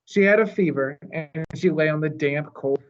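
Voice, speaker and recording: A man reading a children's novel aloud in a measured, expressive storytelling manner. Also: on the high side, dull audio, background noise. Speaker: storytime reader.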